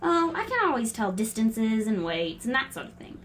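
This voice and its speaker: A woman playing a brisk, confident, quirky girl in a family audio drama, breezy and matter-of-fact. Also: fairly high pitch, big pitch swings, fairly bright tone, slight background noise. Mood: proud, brisk.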